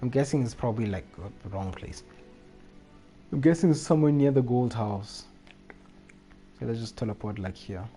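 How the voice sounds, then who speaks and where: A man's voice: medium pitch, moderate pace, long pauses, dark tone, husky, faint music behind. A man talking casually as a live game streamer, rambling and often repeating his phrases. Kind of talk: casual gaming livestream chat.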